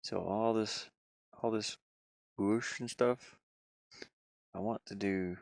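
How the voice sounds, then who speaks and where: A man's voice: fairly low pitch, fairly even intonation, slow, gravelly, a little mellow, no echo. A man live streaming game design work, rambling and musing casually as he hesitantly thinks aloud.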